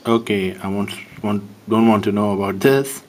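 A man's voice: lowish voice, moderate pace. Man with a Pakistani accent demoing an app. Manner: chatty, amused running commentary. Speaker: app demonstrator. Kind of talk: casual demo narration.

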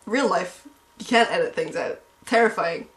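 A woman's voice: fairly low pitch, moderate pace. A woman in her twenties talking in a casual, playful, self-mocking comic vlog style, recorded at home. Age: 20s. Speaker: comedy vlogger. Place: small room at home. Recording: roomy home webcam sound.

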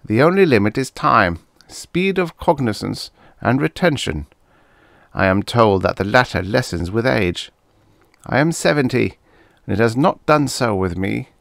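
Frosty, self-important male politician of seventy, acted by a male narrator, formal storybook dialogue, raised pitch. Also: sing-song, creaky, fairly bright tone, no echo, clean studio sound, heavily acted. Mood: smug, frosty. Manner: pompous, formal, measured boasting.

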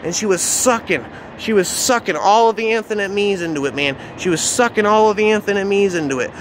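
A man telling a dark first-person story off the cuff in an agitated, repetitive, swearing, slangy way. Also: high-pitched, brisk. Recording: noisy outdoor recording with traffic ambience.

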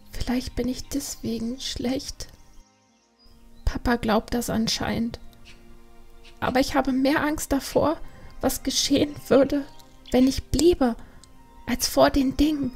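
A grieving young panda girl, played by a woman in an audiobook drama. Her voice is fairly high and unhurried, hushed and heartfelt, with music behind it. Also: husky, full character voice. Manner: soft, hesitant, hushed and heartfelt. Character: grieving, restless young female panda longing for adventure.

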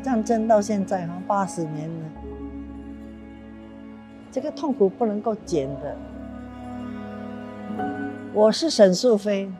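A woman in her eighties tells her story earnestly and with emotion. Her voice sits in a middle pitch. Music plays clearly beneath her speech. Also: expressive, slow pace, pauses often. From Singapore. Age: 80s. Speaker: war survivor interviewee. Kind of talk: documentary interview. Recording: clear music bed underneath.

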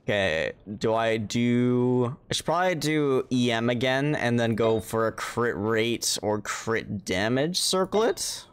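A man's voice: mid-range voice, animated, takes it slowly, few pauses, smooth. A man chatting casually on a gaming livestream, swearing freely and poking fun at himself. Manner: casual, profane, self-deprecating. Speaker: game streamer. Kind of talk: casual livestream gameplay chat. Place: indoor room, streaming setup. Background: game music.

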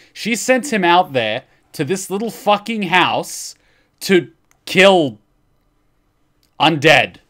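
A man's voice: mid-range voice, fairly bright tone. A young man giving casual, profane reaction commentary as a streamer, with a sarcastic, exaggerated manner.

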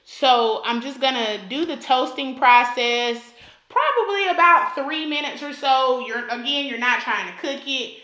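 A woman's voice: sits high, lively intonation, unhurried, bright, forceful tone, reverberant, rough recording. A woman from Alabama hosting a cooking tutorial, talking the viewer through each step and repeating points for emphasis. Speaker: cooking channel host.

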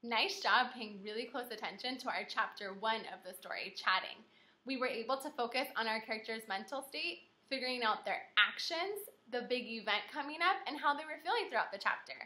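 A young American woman teaching young children in an animated, encouraging way, speaking simply as in an interactive read-aloud lesson. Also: on the high side, a little fast. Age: young adult. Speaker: elementary school teacher. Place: small indoor room with whiteboard.

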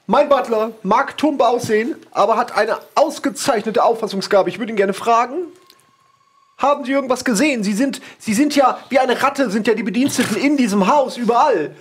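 A man playing a frail, haughty old count in an improvised audio drama, halting and pompous. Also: extremely high pitch, slightly rough. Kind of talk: improvised tabletop role-play audio drama.

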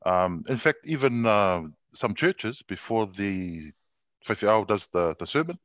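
Deep-voiced man on a dry call. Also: measured pace, no echo. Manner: relaxed, with some fillers. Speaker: guest. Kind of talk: casual chat on a video call. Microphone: video call. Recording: dry, dull video-call audio.

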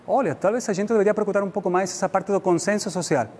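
A man's voice: sits high, fast talker, darkish tone. A middle-aged man from Spain with a Spanish accent, giving an informal, explanatory public lecture in a language that is not his native one. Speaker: guest lecturer. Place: indoor lecture room. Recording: reverberant hall with background noise.

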